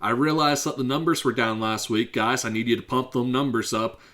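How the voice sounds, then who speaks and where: Man, Pittsburgh accent, hoarse from a cough, solo podcast host, rambling, unscripted, mid-pitched voice. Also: fairly even intonation, a bit bright. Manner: rambling and unscripted, drifts into fast talk. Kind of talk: casual solo podcast monologue.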